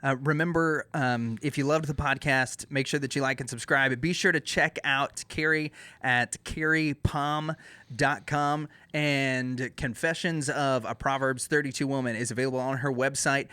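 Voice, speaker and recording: A man's voice: mid-range voice, steady tone, normal speed, sharp tone, smooth, no room sound. Enthusiastic man, dry close sound. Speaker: podcast co-host. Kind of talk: casual podcast chat.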